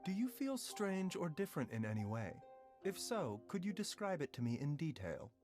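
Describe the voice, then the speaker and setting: Composed, courteous doctor, music behind. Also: male voice, fairly high pitch, sing-song. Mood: curious, amused. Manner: measured, polite, formal. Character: composed, courteous male doctor, gentle and detached. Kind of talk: video game voice acting.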